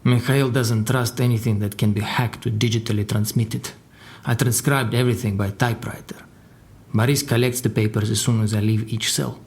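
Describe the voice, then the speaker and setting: An actor playing a crime boss's guarded secretary in an audio drama, telling his story in a dry, deliberate way. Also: mid-range voice, fairly even intonation, darkish tone, close to an everyday voice. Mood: matter-of-fact. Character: formidable Russian crime boss's secretary, guarded male survivor. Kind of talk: audio drama dialogue and narration.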